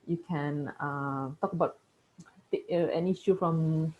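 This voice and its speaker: A woman's voice: sits low, fairly even intonation, measured pace, phone-quality sound. A woman from Malaysia, whose first language is Kayan, giving a university lecture and often checking in with "okay?". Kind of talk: classroom lecture.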